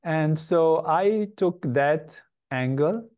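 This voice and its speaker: Older man from Lebanon, interview guest, thoughtful and measured, warm low-key tone. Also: smooth. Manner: measured, thoughtful, with frequent pauses and fillers. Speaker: guest, meditation organization leader. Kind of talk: reflective interview answers.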